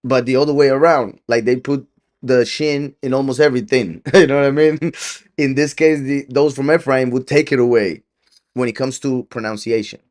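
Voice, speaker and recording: A man's voice: mid-range voice, fairly even intonation, moderate pace, a little mellow. A middle-aged man teaching a Bible study in a loose, conversational way, laughing often and acting out quoted lines. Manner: conversational, laughs often, with many restarts and fillers; acts out quoted lines with a shifting voice. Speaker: preacher.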